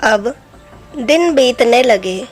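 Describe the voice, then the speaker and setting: A woman's voice: on the high side, lively intonation, extremely slow, soft background music. A woman narrating a scripted fairy tale for children. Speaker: storyteller.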